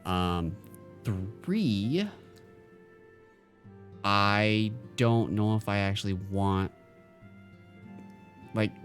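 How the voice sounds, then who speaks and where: A male streamer in casual live-stream chat, answering viewers in a halting, think-aloud way. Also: lowish voice, animated, faint music behind.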